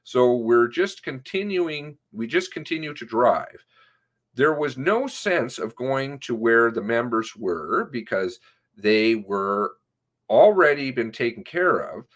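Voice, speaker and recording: A man reading an interview transcript aloud in a halting way, sometimes breaking off with asides of his own. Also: mid-range voice, very animated, fairly bright tone. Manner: halting, often breaking off to comment on the text.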